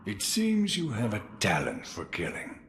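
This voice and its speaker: An actor playing a gruff old swordsman in a game cutscene, sly and relishing, with sudden loud outbursts. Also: lowish voice, wildly swooping pitch, unhurried, heavily acted. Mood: gruff theatrical. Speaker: mentor recruiting the hero. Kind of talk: video game cutscene acting.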